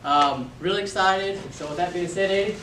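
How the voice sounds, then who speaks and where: A man's voice: high-pitched, normal speed, bright, forceful tone, recorded from a distance. A man hosting an event, giving an upbeat, loose introduction of the next speaker to a live audience. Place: event room before an audience. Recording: echoey room heard from afar, background noise.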